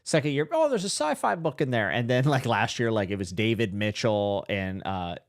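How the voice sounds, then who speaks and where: A man's voice: lowish voice, very animated, moderate pace. A man hosting a casual livestream podcast, rambling and self-deprecating, laughing often. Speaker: podcast host.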